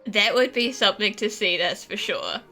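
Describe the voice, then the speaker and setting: A woman's voice: medium pitch, expressive, moderate pace, music in the background. A woman giving casual, amused commentary while playing a video game. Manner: laughs often, amused reactions. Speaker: gamer commentating on playthrough.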